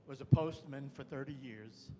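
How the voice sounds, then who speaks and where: An older man with a Brooklyn accent, loud and chatty, introducing himself and his partner at a community meeting. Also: mid-range voice, normal speed, slight background noise. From New York. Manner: loud, chatty, self-deprecating. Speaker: new neighborhood representative. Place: chapel hall. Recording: reverberant hall.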